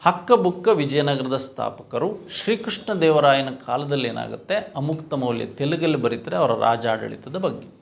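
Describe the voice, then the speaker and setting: A man's voice: mid-range voice, normal speed, gravelly, few pauses. A man with a Karnataka Kannada accent lecturing as an exam coach, didactic, repeating key points and posing rhetorical questions. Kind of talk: lecture, reviewing quiz questions.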